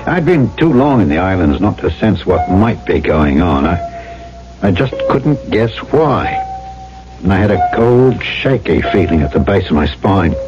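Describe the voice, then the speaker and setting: An actor playing an uneasy, stubborn island trader who narrates and questions dramatically in an old-time radio drama. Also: male voice, low-pitched, very animated, a little slow. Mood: brooding. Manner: dramatic first-person storytelling and pointed questioning. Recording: muffled old radio broadcast with music.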